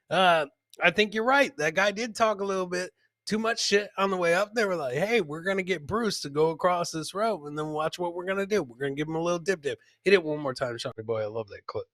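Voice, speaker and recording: A man's voice: high voice, sharp tone, no room sound. A man hosting a casual comedy clip show, chatting to his co-host and viewers and often repeating phrases for comic effect. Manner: chatty, addresses co-host and live viewers by name.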